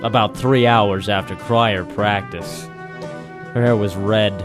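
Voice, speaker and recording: An actor playing a cynical, wisecracking waterfront operator in a 1940s radio noir drama, deadpan and terse. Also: male voice, lowish voice, takes it slowly, over music. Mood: sardonic, tough. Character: cynical hard-boiled waterfront private operator, male, wisecracking. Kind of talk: 1940s radio noir first-person narration and dialogue. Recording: 1940s radio broadcast recording.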